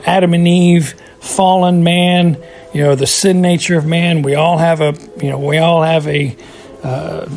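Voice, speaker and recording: A man's voice: a little high, darkish tone. A man giving his casual recorded thoughts on an episode for a podcast, rambling with many fillers and restarts. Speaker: podcast contributor.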